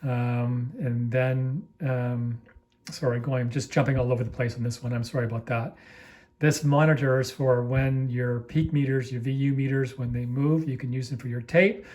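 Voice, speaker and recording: A man casually demonstrating equipment off the cuff, explaining it in a halting, apologetic, easygoing way as he handles it. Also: medium pitch, steady tone, a little mellow. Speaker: amateur reel-to-reel demonstrator. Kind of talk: casual unscripted product walkthrough.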